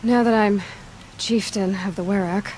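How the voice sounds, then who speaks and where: Actress as young female hunter, mellow soft-edged tone, frank, dry, understated game dialogue, faint ambient noise. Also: mid-range voice, fairly even intonation, normal speed, lightly acted, low-quality recording. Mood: dry, inquisitive. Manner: plain-spoken, understated, dry. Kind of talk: naturalistic video game dialogue.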